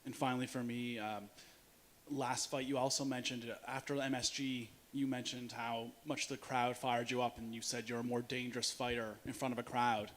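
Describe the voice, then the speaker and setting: A man's voice: mid-range voice, level tone, normal speed, fairly bright tone, low-quality recording. A man working as a reporter, asking an athlete questions at a press conference. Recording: faint hiss and noise.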